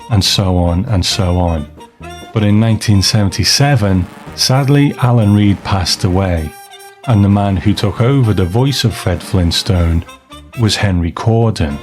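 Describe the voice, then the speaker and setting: Casting: male host with a lowish voice for scripted review narration over soft background music.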